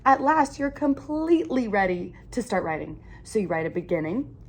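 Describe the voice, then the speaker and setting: Lively woman reading a picture book aloud. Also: mid-range voice, slight background noise. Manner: animated, deliberate storytelling with emphatic phrasing. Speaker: read-aloud storyteller. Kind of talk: expressive picture-book read-aloud for children.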